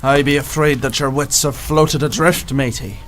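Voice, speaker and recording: An actor playing an ageing, rum-loving pirate captain in a radio play, declaiming in stage pirate English over a noisy background. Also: male voice, medium pitch, steady tone, normal speed, a bit bright, lightly acted. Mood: blustering. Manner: blustering, declamatory old-salt talk. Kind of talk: theatrical radio play acting. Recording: noisy background with ambient sound effects.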